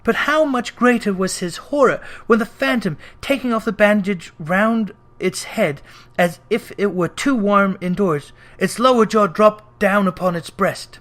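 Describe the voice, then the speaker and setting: Guy reading an old story aloud, audiobook narrator, voice a bit on the high side, dramatic delivery. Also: a little slow, fairly bright tone.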